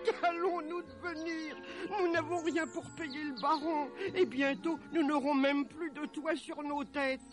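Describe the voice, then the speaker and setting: A man voicing an anxious, excitable peasant mother with a wailing, exclamatory delivery in a storybook. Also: measured pace, hardly any pauses, over music. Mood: despairing. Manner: wailing, exclamatory, high-voiced.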